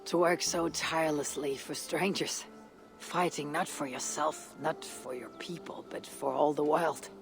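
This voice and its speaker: A woman playing a grave, introspective Viking warrior in a video game, husky and breathy, speaking in solemn, deliberate phrases. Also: deep voice, fairly even intonation. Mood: earnest, warm. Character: weathered female Viking warrior, grave, introspective, loyal leader. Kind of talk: dramatic video game acting. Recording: music behind the voice.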